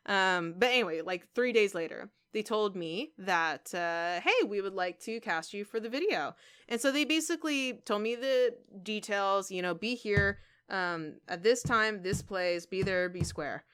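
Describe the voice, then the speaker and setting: A woman talks in a casual vlog style, with a clear, smooth voice at a medium pitch. She rambles conversationally to her viewers, leaning on certain words in emphatic little asides. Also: animated, a little slow, fairly bright tone. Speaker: YouTuber and game streamer.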